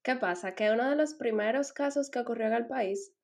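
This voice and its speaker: Dominican woman in her 20s, podcast guest, relaxed storytelling. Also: on the high side, a little fast. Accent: Dominican Spanish. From Dominican Republic. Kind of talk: casual podcast chat.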